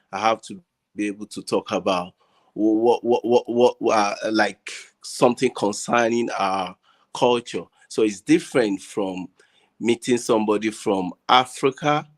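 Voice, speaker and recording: Male, Nigerian accent, lowish register, discussion guest; conversational, hesitant, repeats words while searching for phrasing. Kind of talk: casual discussion. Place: online group video call.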